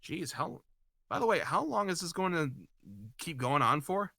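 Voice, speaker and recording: A man's voice: fairly high pitch, lively intonation, normal speed, creaky, pushed and bright. A man chatting casually and informally as an amateur voice actor, a bit hesitant. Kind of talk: casual chat between read lines.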